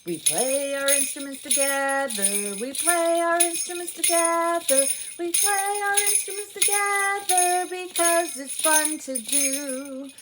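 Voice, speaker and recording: A woman's voice: high voice, takes it slowly, few pauses, over loud music. A woman in her fifties leading a baby story time, speaking and chanting in an exaggerated sing-song way. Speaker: library story time presenter. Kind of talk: children's story time with rhymes and songs. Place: small indoor room with alphabet backdrop. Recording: faint background noise.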